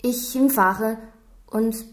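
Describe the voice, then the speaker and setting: A woman's voice: fairly high pitch, drawn-out words. An actress playing a reticent Chinese cleaning woman with a Chinese accent, answering in short broken phrases in a radio crime drama. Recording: echoey room.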